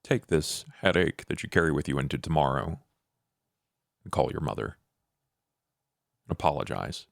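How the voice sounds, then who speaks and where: A man voicing a stoic, world-weary male vampire in a tabletop game, giving terse, measured commands. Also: a bass voice, lively intonation, creaky, dry recording, studio quality, full character voice. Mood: calm, commanding.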